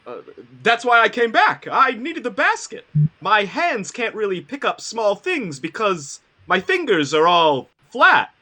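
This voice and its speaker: A woman playing a naive, eager, bumbling living scarecrow in a live fantasy table read, with halting delivery. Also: mid-range voice, very animated, sharp tone, heavily acted. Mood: awkward.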